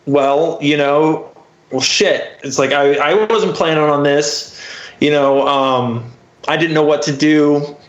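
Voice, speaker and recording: A man's voice: on the high side, steady tone, slow. A man telling a personal story casually as a podcast guest, candid and profane, peppered with filler phrases. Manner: candid, profane, frequent "like" and "you know". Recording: roomy, slightly dull muffled audio.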